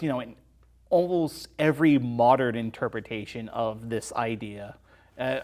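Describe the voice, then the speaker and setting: A man's voice: mid-range voice, darkish tone. Man chatting as a film show guest. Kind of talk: casual film discussion.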